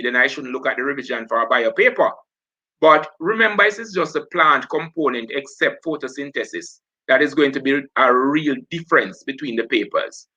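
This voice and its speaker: A man's voice: fairly high pitch, sharp tone, low-quality recording. A man from Jamaica with a Jamaican accent, hosting a live online revision session and talking through past papers as he goes. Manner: talks while working through papers, self-correcting.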